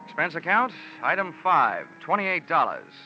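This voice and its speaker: An actor playing a wisecracking, hard-boiled insurance investigator in a clipped, wry old-time radio style. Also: male voice, on the high side, sing-song, moderate pace. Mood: dry narration. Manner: wry, clipped, slangy delivery. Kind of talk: old-time radio detective acting with first-person narration. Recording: dull old radio recording, music behind.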